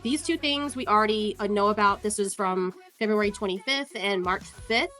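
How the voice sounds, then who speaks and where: A woman's voice: mid-range voice, normal speed, bright, forceful tone, soft background music. A woman giving casual, sarcastic commentary as she walks through court records, scornful and free with swearing. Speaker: online commentator.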